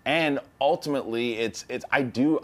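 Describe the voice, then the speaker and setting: A man talking casually as a panelist on a talk show, halting and repeating his words for emphasis. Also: mid-range voice.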